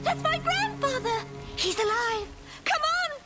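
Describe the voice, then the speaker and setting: Frightened young girl, very high voice, slow halting delivery, pleading, video game, loud music behind. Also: expressive. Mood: excited. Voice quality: childlike, high voice. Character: frightened young village girl searching for her family.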